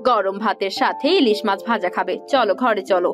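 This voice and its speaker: A woman voicing a gentle, forgiving, dutiful village wife in a cartoon, with a reasoning manner. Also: mid-range voice, brisk, over music, close to an everyday voice. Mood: gentle, approving. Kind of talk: cartoon dialogue acting.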